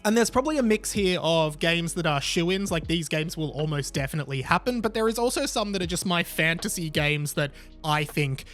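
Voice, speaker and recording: A man's voice: fairly high pitch, lively intonation, fairly quick, fairly bright tone. A man hosting a casual, chatty video game commentary, speaking enthusiastically to viewers in slangy language. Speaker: YouTube video host. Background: background music.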